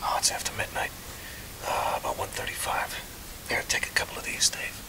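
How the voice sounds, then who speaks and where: A man playing a kindly, lonely confidant in an audio drama, gentle and halting in hushed tones. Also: whispered, lightly acted, poor audio. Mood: hushed concern.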